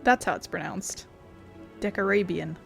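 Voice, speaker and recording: A woman's voice: mid-range voice, moderate pace, creaky, soft background music. A woman giving casual let's play commentary in offhand, wry asides. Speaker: gamer playing and commenting on a game.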